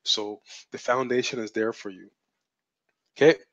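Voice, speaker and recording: A man's voice: mid-range voice, moderate pace, a bit bright, dry recording. A blunt, assertive man mentors viewers through a live webinar, hammering points home by repeating them. His voice is strained and cracks often. The stream sound is dull and lo-fi, with a dry, close feel. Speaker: webinar host and business mentor.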